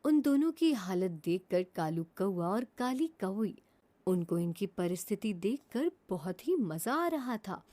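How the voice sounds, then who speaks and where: Woman narrating a lively children's story. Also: mid-range voice, unhurried.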